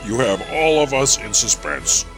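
A man's voice: medium pitch, very animated, normal speed, over music, voice pushed down, natural voice, rough recording. A man voicing a brooding male masked vigilante in a comic-book dramatic reading. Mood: suspenseful.

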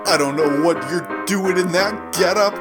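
A man's voice: high-pitched, normal speed, pitched below the performer's own voice, close to an everyday voice. A man playing a bossy, exasperated tough-guy superhero impostor in a comic book reading. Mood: bullying. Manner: bossy, exclamatory. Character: pushy, tough-talking male fake speedster, exasperated wise guy. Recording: music behind the voice.

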